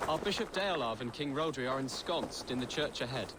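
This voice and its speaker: An actor playing an earnest young heir to a lordship in a video game, speaking politely, formally and a little unsure of himself. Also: male voice, medium pitch, lively intonation, fairly quick, background noise, lightly acted. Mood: hopeful. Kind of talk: video game character acting. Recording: music behind the voice.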